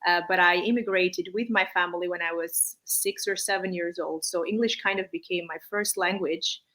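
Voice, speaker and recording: A woman's voice: fairly low pitch, fairly even intonation, moderate pace, sharp tone, poor audio. A woman from Armenia, an English speaker, giving conversational interview answers with hesitant, circling phrasing. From born in Armenia, raised partly in Malaysia. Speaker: interview guest, English teacher.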